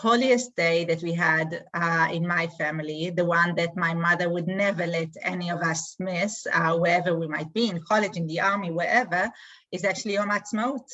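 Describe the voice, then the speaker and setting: A woman with an Israeli accent, answering interview questions in a thoughtful way with many pauses. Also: fairly low pitch, a bit bright, highs cut off. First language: Hebrew. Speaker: guest, community emissary.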